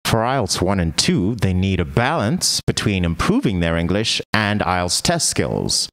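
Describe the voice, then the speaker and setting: A man reading a scripted instructional voice-over in a measured way, setting out points one by one. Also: low voice, very animated, a bit bright. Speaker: voice-over narrator.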